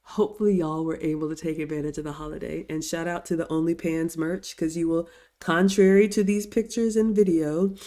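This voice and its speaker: A middle-aged woman with a slightly raspy voice, chatting casually on a livestream as she reads out viewer comments, still getting over a cold. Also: low voice, warm tone. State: recovering from a cold. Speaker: livestream host and etiquette teacher.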